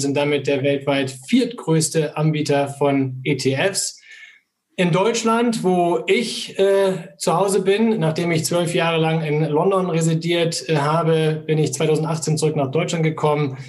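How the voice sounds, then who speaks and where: A middle-aged man answering interview questions about his firm, measured and full of fillers. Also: a little high. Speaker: asset manager guest.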